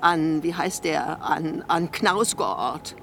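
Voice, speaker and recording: A woman's voice: sits low, slow pace, gravelly, noisy background, exaggerated voice. An actress playing a sick, eccentric older mother in a German radio play, theatrical, reproachful and sarcastic. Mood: sardonic. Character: sick, eccentric old mother, bitter, manipulative, scolding her son.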